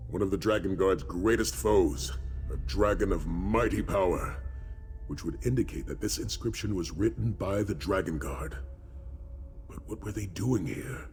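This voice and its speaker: A man playing a serious, watchful warrior-scholar in a fantasy video game, speaking in a grave, deliberate manner. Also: low voice, a little mellow, slight background noise. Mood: focused, grave.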